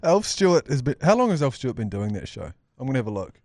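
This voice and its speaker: A man with a New Zealand accent and a mid-pitched voice hosts a podcast. He banters casually, and the studio sound is close and dry. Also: sing-song, fast, darkish tone, dry recording. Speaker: podcast host.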